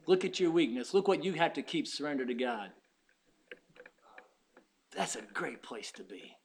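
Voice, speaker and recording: A man in his fifties preaching a sermon, emphatic, swinging from loud urging to hushed asides and acting out his listeners' objections. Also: medium pitch, big pitch swings, moderate pace. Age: 50s. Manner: acts out the doubting listener's objections. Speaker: pastor.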